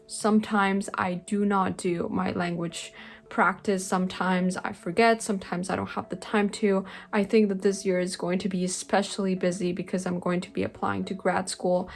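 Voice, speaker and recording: A woman's voice: mid-range voice, gravelly. Earnest young woman, Spanish native speaker. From Spanish-speaking country. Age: young adult. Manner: thoughtful, earnest, with frequent "like" and "um" fillers. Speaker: language-learning vlogger. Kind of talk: casual talking-head vlog. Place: bedroom, indoors. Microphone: webcam-style camera close in front.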